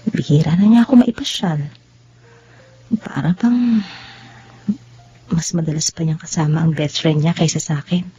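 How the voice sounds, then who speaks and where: Low, mellow hurt girlfriend. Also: normal speed, pauses often, slightly rough. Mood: hurt, pleading. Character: hurt, neglected young girlfriend. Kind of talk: Tagalog radio drama acting.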